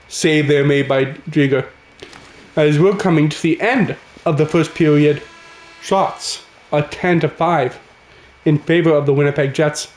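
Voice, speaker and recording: Raspy man calling a hockey game. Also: on the high side, roomy sound. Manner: excitable, calls the action as it happens. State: raspy voice from a cold. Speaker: gaming channel host. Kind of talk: casual play-by-play commentary over a hockey video game.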